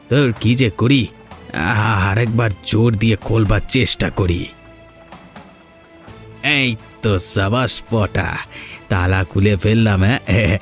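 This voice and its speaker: A sly young thief mutters his plans to himself, voiced by a man in a cartoon. His voice sits low and he sounds scheming and pleased with himself. Faint music plays behind him. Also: lightly acted. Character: young sly thief, the farmer's wayward son. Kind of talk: cartoon dubbing.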